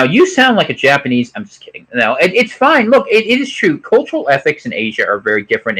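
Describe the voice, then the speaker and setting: An American man hosting an unscripted livestream, reading chat comments aloud and giving opinionated, digressive commentary. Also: low-quality recording. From United States. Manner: animated, opinionated, digressive, pitch jumps up sharply on emphatic points.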